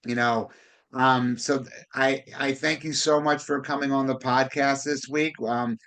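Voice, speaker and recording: A man hosting a podcast, talking casually in a halting way and often repeating words as he goes. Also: medium pitch, steady tone, a bit bright. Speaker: podcast host, real estate broker. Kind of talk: conversational podcast interview.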